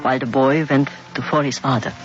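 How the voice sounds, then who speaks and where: An actress in a 1950s radio drama playing a poised, persuasive baroness spy who talks in a coaxing, theatrical manner. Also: female voice, a bass voice, fairly even intonation, brisk. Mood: narrating. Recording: dull old radio recording with faint music.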